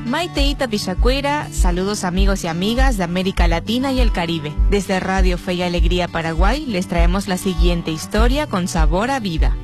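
A woman delivering scripted radio narration in a clear, measured, formal way. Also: lowish voice, animated. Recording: over a music bed.

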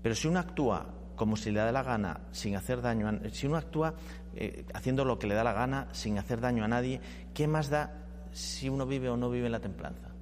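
Male talk-show host, conversational moderator, mid-pitched, hum and hall reverb. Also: brisk. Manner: conversational, poses questions to guests. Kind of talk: moderating a discussion panel. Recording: reverberant room with steady hum.

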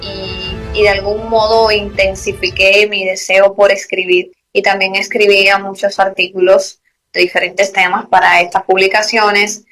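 A woman in her thirties, speaking thoughtfully in an interview, pausing often and filling gaps with soft hesitations. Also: medium pitch, level tone, faint music behind, low-quality recording. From Cuba. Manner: thoughtful, with frequent pauses and fillers. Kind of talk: reflective interview.

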